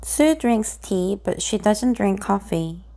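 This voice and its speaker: A woman reading simple grammar example sentences aloud in a slow, deliberate way, pausing between each one. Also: mid-range voice, lively intonation, gravelly, dark tone.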